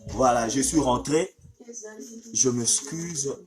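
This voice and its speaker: A man with a head cold, hosting a casual live stream in a halting way with many fillers. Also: mid-range voice.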